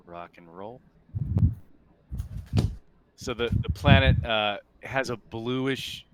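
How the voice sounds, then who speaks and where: A man acting as game master, describing scenes in a halting, improvised way during a tabletop roleplaying game. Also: mid-range voice, heavy background noise.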